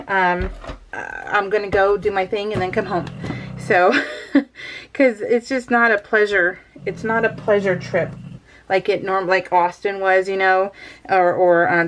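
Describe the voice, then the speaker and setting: A woman's voice: medium pitch, a little hiss or hum, rough recording. A woman chatting casually while scrapbooking at home, rambling with lots of fillers and frequent laughs. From California. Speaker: crafting vlogger.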